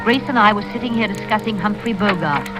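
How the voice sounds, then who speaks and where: Actress as a prim, chatty spinster in old radio. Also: female voice, medium pitch, quick pace, close to an everyday voice. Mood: confiding. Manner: genteel, matter-of-fact, fussy. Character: prim, chatty, unflappable spinster sister, sly and fussy. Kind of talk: 1940s-50s radio drama acting, first-person narration. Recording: vintage radio recording with music.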